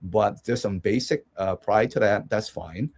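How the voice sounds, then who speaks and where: A man's voice: fairly low pitch, measured pace, gravelly, darkish tone, husky. A man from Hong Kong, a guest analyst giving rambling conversational commentary with many restarts and check-ins.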